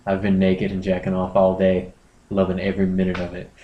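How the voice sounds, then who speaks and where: A man voicing an earnest, shameless forum poster in a quiet, deadpan dramatic reading. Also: low-pitched, fairly quick, highs cut off, close to an everyday voice. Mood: deadpan confession. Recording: roomy echo, background noise.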